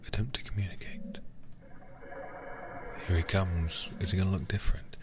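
A man softly whispering close to the microphone in an ASMR game stream, reading text deliberately and murmuring intimate asides to his viewers. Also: fairly even intonation. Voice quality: breathy whispering, low and gravelly. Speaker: livestreamer playing a horror game.

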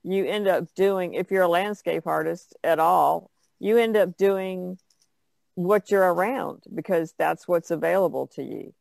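A woman's voice: on the low side, unhurried, creaky, a little mellow, dry recording. A woman, an artist guest, chatting casually in a rambling way that trails off. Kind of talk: casual chat.

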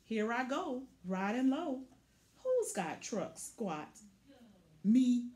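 A woman's voice: on the high side, big pitch swings, very slow, warm tone, full character voice. A woman voicing a boastful, plucky little pickup truck from a children's picture book, punchy and full of vocal sound effects. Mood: straining, proud.

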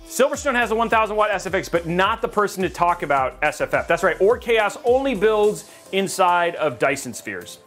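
A man's voice: high-pitched, sing-song, moderate pace, hardly any pauses, a bit bright, faint music behind. A man from Texas hosting a casual livestream, reading chat and joking with viewers, rambling and restarting his sentences.

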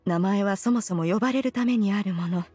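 Actress as ageless game immortal woman: breathy-edged mid voice, stately and formal, old-fashioned diction. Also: mid-range voice, fairly quick, darkish tone, faint music behind, natural voice. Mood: reluctant. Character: ancient female adeptus, dignified, aloof, archaic. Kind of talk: Japanese anime game voice acting.

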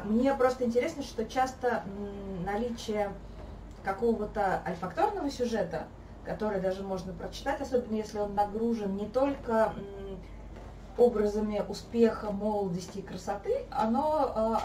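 A woman in her thirties, a guest on a sofa in a living room, talking in a thoughtful, measured way, recorded by a camera across the room. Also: mid-range voice, mellow. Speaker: guest, fairy-tale therapist. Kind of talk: casual conversation. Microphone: fixed camera across the room. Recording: echoey room, mic at a distance, faint hiss.

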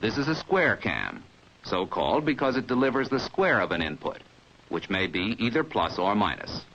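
An American man reading a scripted 1950s training film narration in a measured, precise, didactic manner, as heard on an old film soundtrack. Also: on the low side, very animated, fairly bright tone, dull audio, a little hiss or hum.

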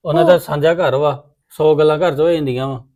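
An actress playing a calm older woman who hushes a younger one, speaking from off-mic. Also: deep voice, fairly quick, lightly acted. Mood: hushing. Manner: hushing, dismissive.

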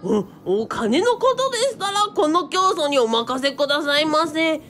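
A woman voicing a vain, excitable male cult leader, stammering and obsequiously polite, in a comic radio drama. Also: sits high, measured pace, slight background noise, exaggerated voice. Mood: eager fawning.

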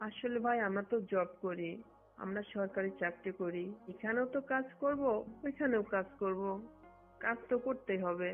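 A woman from Bangladesh speaks in a private phone conversation at a middling pitch. Her voice comes through a thin, muffled call recording, with music underneath. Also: normal speed. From Satkhira, Bangladesh. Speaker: phone caller, government employee.

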